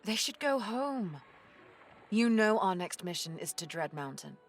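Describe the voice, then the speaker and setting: A woman's voice: mid-range voice, sing-song, measured pace, soft background music. A woman playing a stern, sardonic female pirate captain in an audio drama, commanding and dryly sarcastic. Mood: firm, weary.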